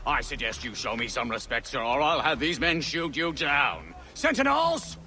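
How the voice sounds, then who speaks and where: A man's voice: mid-range voice, big pitch swings, moderate pace, bright, forceful tone, soft background music. An actor playing a pompous older colonial statesman in a video game, with formal, theatrical, blustering delivery. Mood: indignant. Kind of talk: dramatic video game acting.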